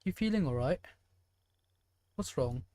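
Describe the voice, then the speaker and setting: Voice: medium pitch, animated, unhurried, warm tone, slight background noise. A game streamer giving casual live commentary, thinking aloud and speculating in a musing way. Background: game music.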